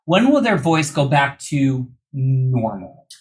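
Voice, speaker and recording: A man's voice: medium pitch, very animated, takes it slowly. A man hosting a podcast in casual, informal interview talk, recovering from vocal surgery. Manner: informal, chatty, joking. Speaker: podcast host.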